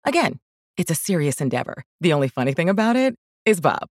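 A woman's voice: sits low, very animated, fast, dry recording, clean studio sound. An American woman reading a scripted advertisement as a voice-over. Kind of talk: scripted ad read.

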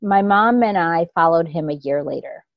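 A woman in her fifties from India tells her life story as an interview guest. Her voice sits a little low, and she winds through her memories with many restarts. The sound is close and dry. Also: normal speed, dry recording, highs cut off. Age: 50s. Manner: reflective, rambling, with frequent restarts.

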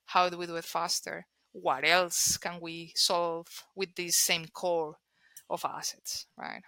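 A woman's voice: on the low side, flat delivery, slow, vocal fry, bright, forceful tone, no echo. A woman from Colombia whose first language is Spanish, speaking English with a Colombian accent as an interview guest. She talks emphatically and often repeats words as she restarts. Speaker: guest, data and analytics leader. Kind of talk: conversational interview.

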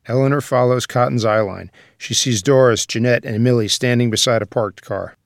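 A man's voice: medium pitch, moderate pace, gravelly, no room sound, clean studio sound. A man narrating a screenplay's scene headings and action in scripted table-read style. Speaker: stage direction reader.

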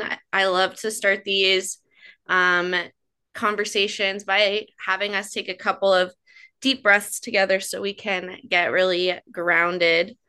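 A woman hosting a wellness podcast, reading an upbeat scripted intro and guiding slow breathing. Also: mid-range voice. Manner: upbeat, slows to a calm guiding pace. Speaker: podcast host.

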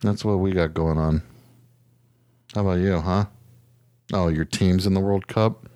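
A man's voice: deep voice, fairly even intonation, a little slow, dark tone, dry recording. Casting: American male podcast co-host, sweary and sarcastic, venting casually in a dry, close recording. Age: adult, parent of children. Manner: exasperated, profane, sarcastic. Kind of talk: casual comic rant.

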